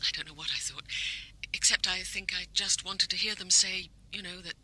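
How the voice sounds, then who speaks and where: An actress playing a sheepish British wife in a radio drama, confiding hesitantly over the telephone. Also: female voice, lowish voice, steady tone, normal speed, sharp tone, poor audio. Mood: sheepish, anxious. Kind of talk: naturalistic radio drama acting.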